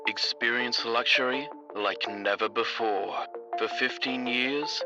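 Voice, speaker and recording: An actor playing a suave, sultry, mock-serious announcer in a parody radio advert. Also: mid-range voice.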